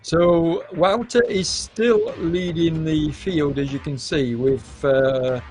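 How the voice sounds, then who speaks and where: Hoarse male race commentator, slow and hesitant, faint music underneath. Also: on the high side, a little mellow. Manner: hesitant, many fillers, unhurried. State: hoarse with a cold, coughing.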